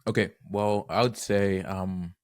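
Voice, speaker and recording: A man's voice: low-pitched, fairly even intonation, unhurried, a little mellow, dry recording, studio quality. A young man from Zimbabwe, a guest on a podcast, talking in an easygoing, earnest way with many fillers. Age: young adult. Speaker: guest, penetration tester. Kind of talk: casual podcast interview.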